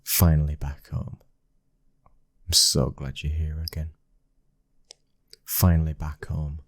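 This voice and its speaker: A British man playing a loving, dominant young mafia heir in an intimate ASMR boyfriend roleplay, speaking close to the mic in a breathy, husky voice. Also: a bass voice, flat delivery, takes it slowly, exaggerated voice. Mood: relaxed, affectionate. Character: smooth-talking, loving, dominant young mafia boss's son. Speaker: audio roleplay voice actor. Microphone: close microphone.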